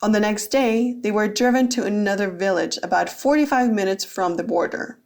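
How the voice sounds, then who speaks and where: A woman's voice: mid-range voice, moderate pace, gravelly, a little mellow. Woman narrating a radio documentary. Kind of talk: scripted narration.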